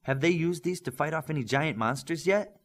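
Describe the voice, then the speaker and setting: A man's voice: a little high, animated, normal speed, gravelly, fairly bright tone, voice pushed up. A man voicing a self-assured young female superhero who coaches and explains in an audiobook. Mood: curious.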